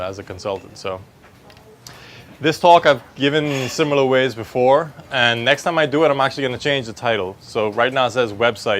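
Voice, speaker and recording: A man in his thirties from the Bahamas, presenting a talk in a relaxed, conversational way into a handheld mic in an open-air pavilion. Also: fairly low pitch, slight background noise. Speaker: speaker, digital marketing consultant. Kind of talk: conference talk.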